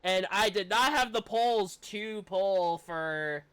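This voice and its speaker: Casting: man, game streamer, higher-pitched and clear-toned, casual off-the-cuff gameplay commentary. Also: slow, fairly bright tone. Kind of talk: casual gameplay commentary, reading dialogue along.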